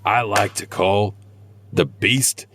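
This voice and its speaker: A man's voice: on the low side, fairly even intonation, takes it slowly, fairly bright tone, slight background noise. A man hosting a storytelling podcast, narrating scripted material in a wry, conspiratorial way. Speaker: host and narrator of a horror anthology podcast.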